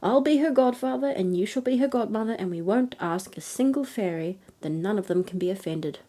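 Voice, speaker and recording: A woman's voice: medium pitch, expressive, fairly quick, warm tone, close to an everyday voice. A woman voicing a firm, protective storybook king with an emphatic, reasoning manner. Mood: decisive. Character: firm, no-nonsense king, protective husband and father.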